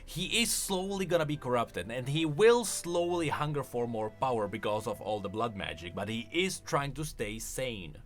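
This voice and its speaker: A man's voice: mid-range voice, very animated, moderate pace, few pauses, soft background music. A man hosting a video, giving casual, enthusiastic commentary on game lore and explaining as he goes. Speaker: video host.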